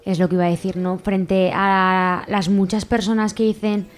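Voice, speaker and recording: A woman's voice: medium pitch, flat delivery, normal speed. A woman with an accent from Spain talking casually as a radio guest, colloquial and emphatic, correcting herself as she goes. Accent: Spanish from Spain. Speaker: radio guest from a community library collective. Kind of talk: casual spoken radio interview.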